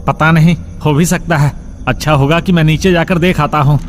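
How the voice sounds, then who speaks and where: A man's voice: fairly high pitch, fairly even intonation, moderate pace, close to an everyday voice. A man playing an uneasy night watchman in a cartoon ghost story. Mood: uneasy, willing. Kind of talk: cartoon horror story dialogue.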